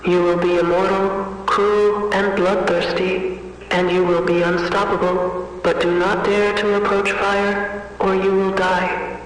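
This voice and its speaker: A woman playing a cold witch in an analog horror fairytale, speaking in a measured, emotionless, ominous way. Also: mid-range voice, monotone, natural voice. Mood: ominous, commanding. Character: cold, bargaining witch telling a dark fairytale. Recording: very echoey, distant, faintly noisy reverb.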